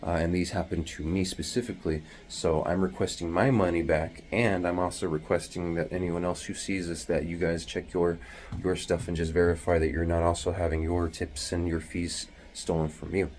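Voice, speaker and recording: A man with a very deep voice, talking hushed and rambling with many fillers while explaining delivery-driver pay in a casual vlog from a small bathroom at home. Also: flat delivery, moderate pace. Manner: hushed, half-whispering to avoid waking others.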